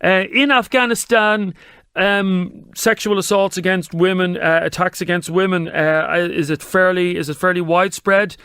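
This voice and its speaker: A man's voice: sits high, fairly even intonation, moderate pace, bright, forceful tone, smooth, no room sound, clean studio sound. An Irish man hosting a talk radio show, pressing his points emphatically and firing questions at callers. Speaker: radio host. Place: radio studio. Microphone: studio mic.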